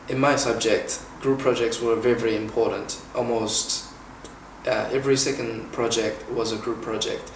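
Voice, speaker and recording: A man in his twenties from China talks through a casual question-and-answer session, measured and pausing often with "uh." He is recorded from a little way off in a noticeably echoey room. A faint background hiss sits under his voice. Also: fairly low pitch, far from the mic. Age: 20s. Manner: measured, with frequent "uh" pauses. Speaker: interviewer and former international student. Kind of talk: informal Q&A, explaining and asking questions.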